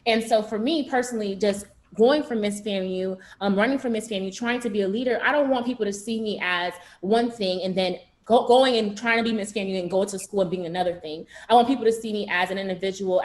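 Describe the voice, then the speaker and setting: Fast-talking young woman. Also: medium pitch, echoey room. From southern United States. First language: Haitian Creole. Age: 20s. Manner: fast-talking, earnest, with many restarts. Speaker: panelist. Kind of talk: panel discussion remarks. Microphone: video call.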